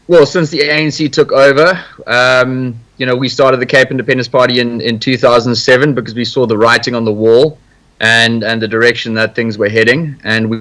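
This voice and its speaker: A man's voice: medium pitch, a bit bright, low-quality recording. A man in his thirties with a South African accent, a guest on a video call who talks steadily with fillers. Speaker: guest, party leader.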